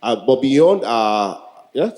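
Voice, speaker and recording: A Nigerian man in his early forties works as master of ceremonies at a live event. His voice is warm and relaxed in tone, at an average male pitch. He improvises, jokes, repeats himself and puts questions to the crowd. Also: slow pace. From Lagos, Nigeria. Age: early 40s. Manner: joking, repetitive, rhetorical questions to the crowd. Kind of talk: live stage hosting with improvised banter.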